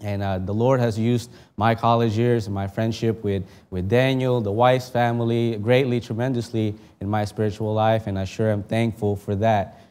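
A young man from the Philippines preaching a sermon, reading scripture and praying in an earnest, heartfelt way that rises for emphasis and drops soft in prayer. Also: fairly low pitch, little pitch movement, moderate pace, clear, resonant tone. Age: young adult.

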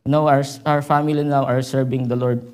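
A man from the Philippines with a Filipino accent, giving a halting church report as a visiting missionary, full of fillers and restarts. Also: medium pitch, little pitch movement, darkish tone, smooth. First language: Filipino language. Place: church sanctuary.

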